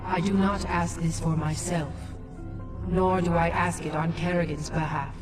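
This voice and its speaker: A woman's voice: lowish voice, level tone, a little slow. A woman playing an ancient, dignified alien matriarch in a video game, formal and solemn, with an echoing effect. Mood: solemn, commanding. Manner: formal, stately. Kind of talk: dramatic video game acting. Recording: echoey, distant, loud music behind.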